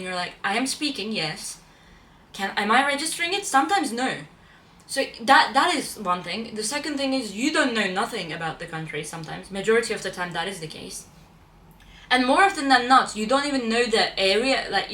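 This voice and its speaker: Young Turkish-native woman vlogger, bright-toned and slightly high, chatty, in an echoey room a little off-mic. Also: distant mic. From Turkey. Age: young adult. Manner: chatty, repeats and restarts phrases, self-correcting. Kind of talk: casual talk to camera.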